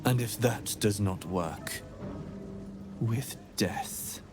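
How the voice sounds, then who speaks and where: An actor voicing a menacing young alien boy in a video game, zealous and wounded, speaking in a cold, moralizing, deliberate way. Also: on the low side, over music.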